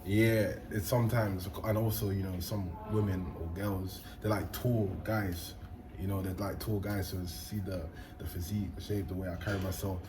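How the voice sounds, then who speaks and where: Deep-voiced young man. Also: moderate pace, noisy background. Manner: laid-back slangy talk, animated bursts. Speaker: host. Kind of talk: casual interview chat.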